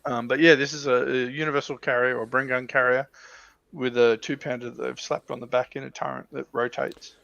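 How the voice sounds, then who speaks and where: A man's voice: medium pitch, little pitch movement, brisk, creaky, a bit bright, no room sound, dull audio. An Australian man chatting casually on a hobby livestream about military vehicles.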